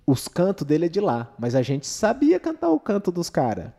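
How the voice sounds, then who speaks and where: Mellow Brazilian man in a reverberant room. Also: on the high side, huge pitch swings, normal speed, vocal fry. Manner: slangy, rhetorical, frequent check-in questions. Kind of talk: casual, opinionated podcast conversation.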